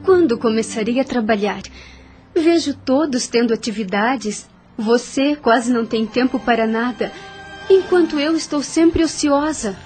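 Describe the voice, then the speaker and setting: An actress plays a young woman spirit, trusting and eager, in an audio drama. Her voice is fairly high, with soft music in the background. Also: unhurried, lightly acted.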